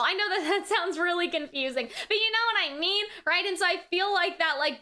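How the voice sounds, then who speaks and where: A woman giving casual, rambling review commentary in an animated, conversational way, often checking in with the listener. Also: high voice. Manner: animated, conversational, often checking in with "right?" and "you know what I mean?". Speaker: anime reaction and review host.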